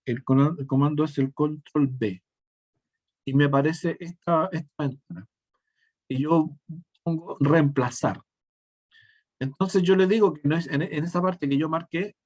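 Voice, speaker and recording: Male instructor, slightly high voice, talks through a screen demo step by step, halting and restarting phrases. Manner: step-by-step explaining, frequent self-corrections and restarts. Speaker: teacher. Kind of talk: screen-share software tutorial.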